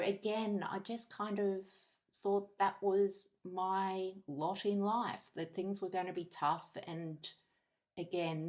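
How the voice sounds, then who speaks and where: A woman in her fifties with an Australian accent, giving a candid, reflective personal monologue in measured short phrases. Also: fairly low pitch. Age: 50s. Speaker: podcast host.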